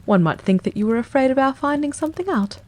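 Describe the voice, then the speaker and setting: A woman reader plays a sharp-witted gentleman detective, cool and sure of himself. He speaks quickly and emphatically, with a lively, swooping pitch and a husky, gravelly edge. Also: heavily acted. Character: brisk, keen-minded male detective, cool and confident. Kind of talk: audiobook character reading.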